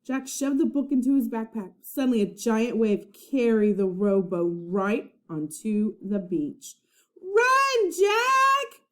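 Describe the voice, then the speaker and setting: A woman reading a children's storybook aloud like a teacher, with expressive, dramatic narration. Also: mid-range voice, unhurried, hardly any pauses.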